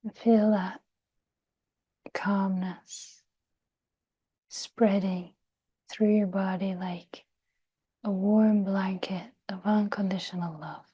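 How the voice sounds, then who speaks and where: A woman guiding a meditation in a soft, breathy, near-whispered voice, gentle and soothing, with long pauses. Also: medium pitch, fairly even intonation, muffled recording. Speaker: meditation guide. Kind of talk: guided meditation.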